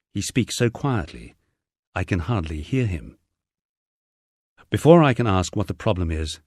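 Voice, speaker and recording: A man's voice: on the low side, dry recording, clean studio sound. A middle-aged man with an English accent reading a first-person memoir as a scripted narration. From England.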